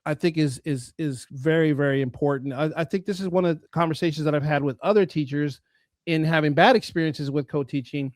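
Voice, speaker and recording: A man's voice: a little high, a little fast, dry recording, studio quality. A man hosting an interview show, talking earnestly and often restarting mid-sentence. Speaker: host. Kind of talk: interview and sponsor talk.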